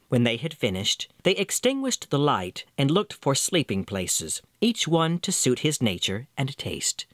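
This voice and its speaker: A man reading a scripted fairy tale as storyteller, with expressive delivery. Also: mid-range voice, dry recording, studio quality. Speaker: storyteller, narrator.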